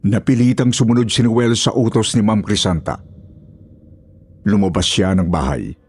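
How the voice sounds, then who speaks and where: A man with a husky voice giving scripted narration for a horror story. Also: on the low side, normal speed, a little mellow.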